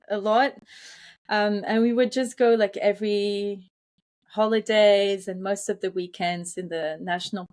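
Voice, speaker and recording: Slow, thoughtful woman telling personal stories. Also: medium pitch. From born in France, grew up partly in Kenya. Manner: hesitant, thoughtful phrasing. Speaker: interview guest, environmental psychologist. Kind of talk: reflective personal storytelling in conversation.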